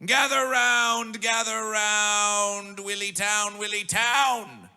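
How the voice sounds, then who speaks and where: A man working as a festival master of ceremonies, making bombastic, mock-ceremonial announcements in a gravelly voice and chanting repeated phrases. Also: high voice, steady tone, slow pace, sharp tone. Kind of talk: theatrical chanted stage announcements. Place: live music festival stage. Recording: music behind the voice. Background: music.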